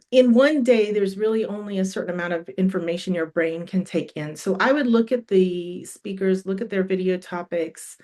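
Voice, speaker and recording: A woman's voice: medium pitch, darkish tone. A middle-aged woman with a cold, losing her voice, hosts a live online summit in a friendly, encouraging way with many ums and restarts. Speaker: summit host. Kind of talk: live stream announcements.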